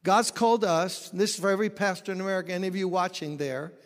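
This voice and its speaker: A man's voice: high voice, fast talker, in a large hall. A pastor preaching a sermon, explaining scripture and telling stories from his own ministry. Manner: expository preaching with personal stories.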